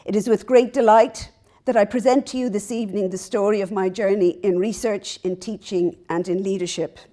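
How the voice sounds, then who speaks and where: A woman's voice: medium pitch, fairly even intonation, a little fast. A middle-aged Irish woman, a native Irish speaker, giving a public lecture as a professor, earnest and laughing at herself now and then. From Ireland. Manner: warm, earnest, laughs at herself between points. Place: lecture hall.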